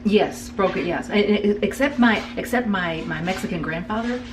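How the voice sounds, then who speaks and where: A woman talking casually with her son, halting and repeating words as she searches for them. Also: medium pitch, far from the mic, music in the background. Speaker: guest, the host's mother. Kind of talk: casual family conversation.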